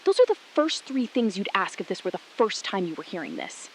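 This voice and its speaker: A woman playing a resolute, shrewd astronaut in an audio drama, speaking in a controlled, deliberate way and repeating phrases for emphasis. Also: mid-range voice, sing-song, a little hiss or hum, natural voice, rough recording. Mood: accusing. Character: resolute, shrewd female astronaut exposing a cover-up. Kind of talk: scripted audio drama, hushed dramatic phone-call acting.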